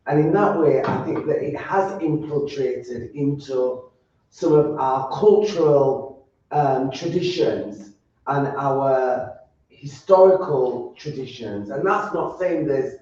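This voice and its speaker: A woman's voice: extremely low pitch, takes it slowly, dark tone. A woman with a broad Yorkshire accent speaking earnestly on a roundtable panel, often stopping and restarting her words. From Yorkshire, England. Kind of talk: roundtable discussion. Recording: echoey, distant, dull sound.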